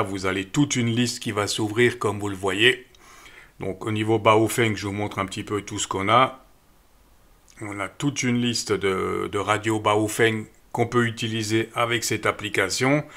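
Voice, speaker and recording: A middle-aged man calmly walking viewers through an app step by step, with frequent fillers. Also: lowish voice. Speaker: radio equipment channel host. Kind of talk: screen-recorded app tutorial voice-over.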